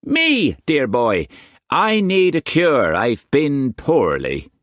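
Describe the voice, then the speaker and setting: A man's voice: medium pitch, huge pitch swings, slow pace, fairly bright tone, no echo, clean studio sound, voice pushed up, full character voice. A man voicing a flamboyant, theatrical old male painter who talks in grand exclamations. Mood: wheedling.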